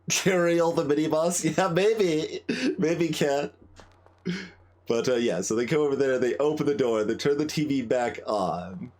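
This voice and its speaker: A man giving casual game commentary as a streamer, halting and thinking aloud as he chats with viewers. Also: fairly high pitch, animated, darkish tone. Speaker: livestreamer, game playthrough host.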